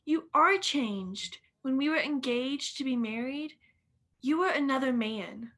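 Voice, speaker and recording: A woman's voice: sits high, measured pace, fairly bright tone. A young woman playing a sorrowful, dignified fiancée in a radio play. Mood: sad, reproachful.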